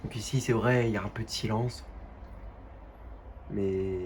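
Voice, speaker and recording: A young man with a stuffy, nasal voice from a cold, chatting casually and haltingly over gameplay at home. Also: lowish voice, steady tone, darkish tone, a little hiss or hum. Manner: halting, many fillers and restarts. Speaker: gaming youtuber.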